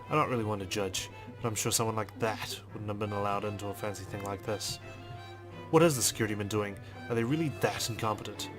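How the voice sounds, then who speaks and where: A man reading visual novel text aloud as a let's player, in a measured, understated storytelling manner with brief asides. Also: on the low side. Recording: music behind the voice. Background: game music.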